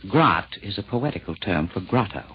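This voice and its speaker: A man's voice: on the low side, lively intonation, normal speed. A man doing rhymed old radio comedy as a precise, pedantic interjecting explainer. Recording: dull, faintly noisy vintage radio recording.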